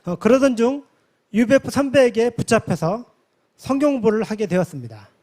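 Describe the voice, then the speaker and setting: High-pitched man giving a formal testimony. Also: darkish tone. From South Korea, from a village near Yeosu. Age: 40s. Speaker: conference member giving a testimony.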